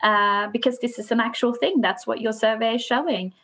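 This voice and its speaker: Breathy woman interviewer, encouraging. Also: on the high side, a little fast, fairly bright tone. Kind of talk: conversational interview.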